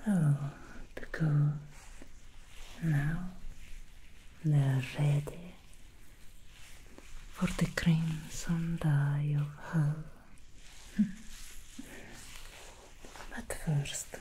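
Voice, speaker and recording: A woman's voice: low voice, fairly even intonation, drawn-out words, long pauses, dark tone, slight background noise, rough recording. A woman playing a sly, aloof female demon hair stylist in an ASMR roleplay, whispering and teasing, disdainful of mortals.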